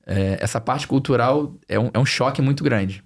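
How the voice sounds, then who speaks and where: A man's voice: mid-range voice, normal speed. A man with a Brazilian Portuguese accent slightly mixed with European Portuguese, speaking conversationally as a podcast guest and repeating phrases for emphasis. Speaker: podcast guest, financial planning expert.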